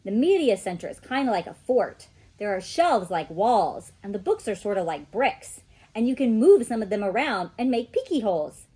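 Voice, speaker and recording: A woman reading a children's story aloud in an expressive storytelling style, like a teacher. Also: medium pitch, moderate pace, breathy edge.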